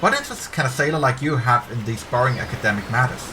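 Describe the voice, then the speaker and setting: A man's voice: mid-range voice, very animated, fast, lightly acted. A man voicing a talkative, uneasy young woman archaeologist in a story read aloud. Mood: apologetic. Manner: talkative, apologetic, hushed confiding. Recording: clear background ambience noise.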